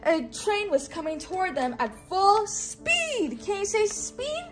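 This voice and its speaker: A woman's voice: extremely high pitch, huge pitch swings, unhurried, soft background music. A woman hosting a children's read-along, reading a storybook aloud in a teacherly way and inviting listeners to repeat words.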